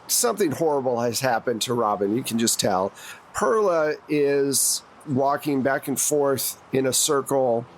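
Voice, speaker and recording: A man's voice: mid-range voice, slight background noise, rough recording. A man narrating a tabletop roleplaying game as game master, in a conversational, plain-spoken way. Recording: music behind the voice.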